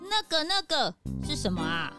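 Woman acting an excitable young sidekick, very high voice, swooping intonation, exclamatory, children's audio drama. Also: normal speed, faint music behind, exaggerated voice. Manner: exclamatory, butts in. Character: excitable, chatty, impatient young detective's assistant.

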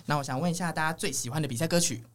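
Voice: mid-range voice, rapid-fire. A podcast host with a Taiwanese Mandarin accent, chatting casually and upbeat in a playful, teasing manner. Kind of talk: casual upbeat chat show hosting.

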